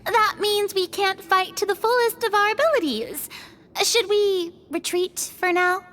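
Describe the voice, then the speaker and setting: A woman's voice: very high voice, unhurried, a bit bright. A woman voicing a nervous, polite young woman adventurer in a video game.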